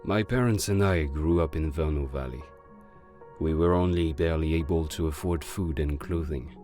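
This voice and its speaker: Man playing a very deep-voiced suave gentleman con artist. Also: normal speed, soft background music. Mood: charming, polite. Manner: gentlemanly, smooth-talking, sprinkles French endearments. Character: suave silver-tongued male con artist made of living fire. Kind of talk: romantic audio drama acting.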